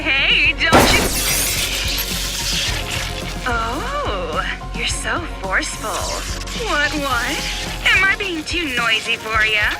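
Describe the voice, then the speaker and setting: A woman's voice: high voice, exaggerated voice. A woman playing a gleefully villainous, unhinged teenage girl, taunting and crude, with theatrical delivery in a game cutscene. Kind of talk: video game cutscene acting.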